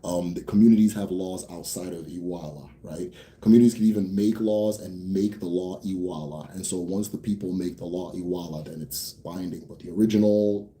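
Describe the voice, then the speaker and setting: A young American man hosting a casual Q&A for viewers. He talks bluntly, swears freely, rambles a bit, then slows down to explain. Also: low-pitched, warm tone. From United States. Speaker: host answering viewer questions. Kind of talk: casual livestream Q&A.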